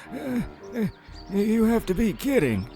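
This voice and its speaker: An actor playing a guarded, grumbling older husband in an audio drama, with a folksy, exasperated manner. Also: male voice, high voice, sing-song, takes it slowly, creaky, music in the background, exaggerated voice. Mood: weary, incredulous.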